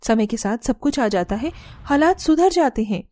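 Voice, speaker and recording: A woman's voice: on the high side, animated, normal speed, a little mellow, no echo, lightly acted. A woman voicing a firm but caring young daughter-in-law who reasons earnestly in a cartoon story. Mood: firm, reflective. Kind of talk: Hindi cartoon story dialogue.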